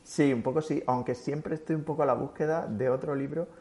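A man speaking as a guest in a relaxed interview, reflective and rambling, with frequent restarts. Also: on the high side, a little fast. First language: Spanish. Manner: thoughtful, rambling with many restarts. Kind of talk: casual interview chat.

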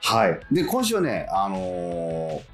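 A man with a low voice hosts a radio programme. His intonation swings widely as he chats politely and draws out his guest, responding often. Faint music sits behind the talk. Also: wildly swooping pitch, slow. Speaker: radio show host. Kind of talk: lively radio hosting and interviewing.

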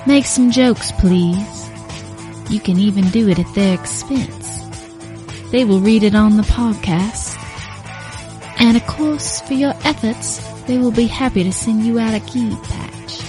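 A sultry late-night radio DJ, voiced by a woman, drawls each line in a slow, teasing murmur. Her tone is dark and mellow, and faint music plays under her. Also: a little high, close to an everyday voice. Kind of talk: scripted radio announcement.

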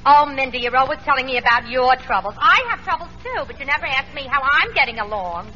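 A woman's voice: high voice, lively intonation, quick pace, sharp tone, big-room sound, a little hiss or hum. A woman playing a brassy, wisecracking switchboard operator in old-time radio comedy. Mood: sardonic. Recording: dull vintage radio, faint audience.

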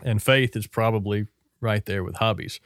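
A man hosting a podcast, leading a reflective discussion in a plain-spoken, measured way. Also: lowish voice, fairly bright tone, dry recording, clean studio sound. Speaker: podcast host.

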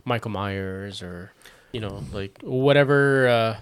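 A man's voice: on the low side, slow pace, smooth. A man in his thirties from Montreal, a podcast guest, joking and laid-back in casual chat. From Montreal, Canada.

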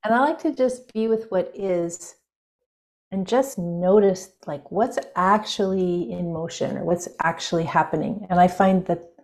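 A woman with a somewhat low voice speaks as an interview guest. She muses her way through her ideas, pausing on fillers and laughing softly. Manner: musing, loose, with many fillers and soft laughs. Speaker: guest, spiritual intuition teacher. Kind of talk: reflective interview conversation.

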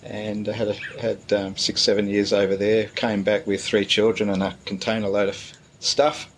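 Relaxed low-voiced pastor, hesitant. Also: male voice, moderate pace, a little hiss or hum, rough recording. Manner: relaxed, hesitant with many fillers. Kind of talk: casual interview answers.

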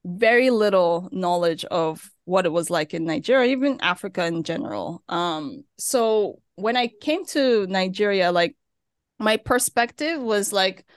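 A woman's voice: medium pitch, lively intonation, measured pace, no room sound, clean studio sound. A young woman from Turkey, now in Nigeria, chatting casually as a podcast guest, with many fillers. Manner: chatty, with frequent fillers and laughs. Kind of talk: casual interview chat. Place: living in Nigeria.

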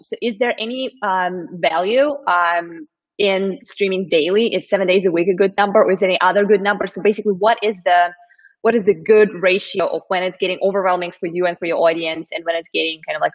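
A woman's voice: fairly low pitch, steady tone, brisk. A woman from Moscow, Russia, hosting a live-stream interview in an upbeat, encouraging way with many fillers. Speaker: host. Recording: dull lo-fi stream audio.

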